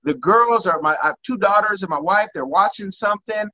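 A man's voice: sits high, a little fast, pushed and bright, highs cut off. A man hosting an interview from his home kitchen, rambling and repeating words as he talks eagerly. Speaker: interview host. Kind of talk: casual interview chat.

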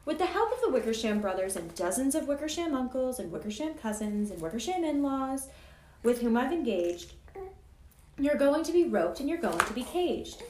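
A woman's voice: sits high, big pitch swings, moderate pace, darkish tone. A big, haughty mother kangaroo from a storybook read by a woman scolds with scornful snap. Her pompous delivery rises and falls freely in an echoey room. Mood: threatening. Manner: snappish, dismissive, pompous.

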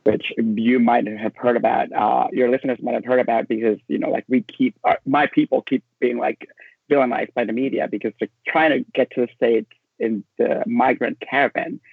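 A man's voice: medium pitch, fairly even intonation, moderate pace, creaky, slightly rough, dry recording, phone-quality sound. A man from Honduras speaking as a guest film critic, conversational and personal, over a thin remote connection. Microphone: remote call.

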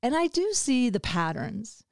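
A woman's voice: a little high, very animated, unhurried, creaky, a little mellow, no echo. A woman in her sixties hosting a podcast, chatting casually and supportively, often finishing the guest's sentences. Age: 60s. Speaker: podcast host.

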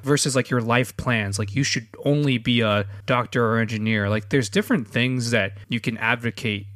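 A man in his thirties co-hosting a casual conversation, speaking in a measured, thoughtful and slightly hesitant way. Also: medium pitch, steady tone, fairly bright tone, no room sound, clean studio sound. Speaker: podcast co-host.

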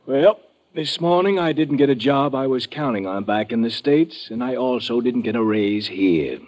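An actor playing a sleepy, sarcastic airline ticket agent in an old-time radio drama. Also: male voice, medium pitch, lively intonation, moderate pace, a little mellow, close to an everyday voice. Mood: sardonic. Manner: sleepy, sarcastic, hesitant mumbles. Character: tall skinny bored, sardonic male airline ticket agent. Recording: muffled old radio recording.

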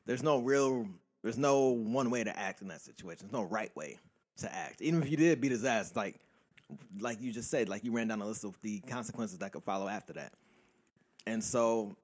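A man co-hosting a podcast, talking in a quiet, laid-back way with a lot of fillers. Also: on the low side, moderate pace. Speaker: podcast co-host. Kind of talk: casual chat.

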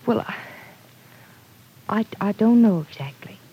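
A woman's voice: mid-range voice, animated, a little slow, warm tone, breathy edge, low-quality recording. An actress playing an earnest, stubbornly loyal young woman in an old-time radio soap opera. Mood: earnest, defensive.

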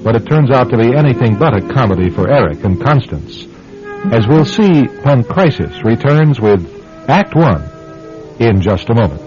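A man's voice: medium pitch, sing-song, moderate pace. A man narrating and hosting a radio drama from a script, in old-time storytelling style. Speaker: host and narrator. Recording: muffled radio sound with music behind.

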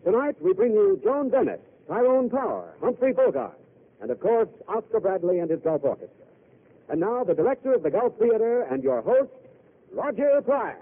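A man announcing a 1940s radio program in a booming, showy style. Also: huge pitch swings, normal speed, creaky. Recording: tinny old radio broadcast.

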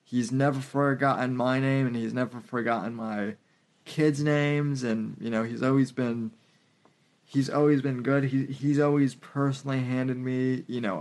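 A young man chatting casually as a podcast host, telling stories haltingly, circling back and repeating himself. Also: medium pitch. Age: young adult. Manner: halting, repetitive, filler-heavy delivery. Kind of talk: casual conversational anecdote.

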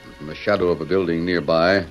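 Radio western narrator male, lowish register, solemn scene-setting delivery, lo-fi old recording. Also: fairly even intonation, quick pace, soft background music, lightly acted. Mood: dramatic, solemn. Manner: dramatic, formal. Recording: dull band-limited old radio recording.

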